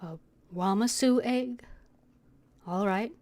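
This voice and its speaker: A woman's voice: medium pitch, slow pace, darkish tone. A woman streamer playing a video game, reading dialogue aloud and muttering to herself.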